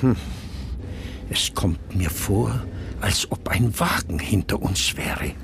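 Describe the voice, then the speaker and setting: An actor playing a formal, watchful manservant and driver in a 1960s German radio crime drama, polite and discreet in manner. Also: male voice, fairly low pitch, expressive, slow, vocal fry, noisy background, heavily acted. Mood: uneasy, polite.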